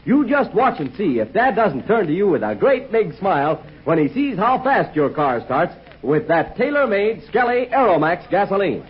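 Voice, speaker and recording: Peppy old radio announcer guy, lively husky voice, dull muffled sound. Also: high voice, moderate pace, hardly any pauses. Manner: upbeat, salesy. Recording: dull 1940s radio transcription.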